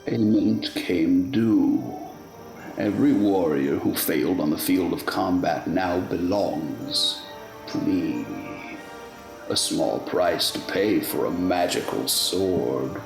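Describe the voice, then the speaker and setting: A mocking, grandiose fae king in a radio play, speaking very slowly in a gravelly voice with theatrical swings. He is sly, menacing and pompous, relishing his formal phrasing. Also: deep voice, animated, roomy sound, over music, exaggerated voice. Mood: gloating, mocking. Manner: pompous, mannered, deliberate.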